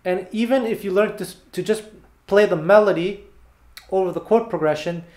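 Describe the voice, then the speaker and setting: Animated guitar teacher, roomy echo. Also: male voice, sits high, moderate pace. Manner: animated, explains with hand gestures between playing. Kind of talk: casual video lesson. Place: small bare room indoors, seated with guitar. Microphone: fixed camera at a few meters.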